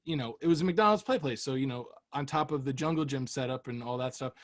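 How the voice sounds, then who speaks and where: A man's voice: mid-range voice, quick pace. A man in his thirties hosting a podcast, telling childhood stories in a chatty way and laughing often. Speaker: podcast host. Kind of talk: casual chat.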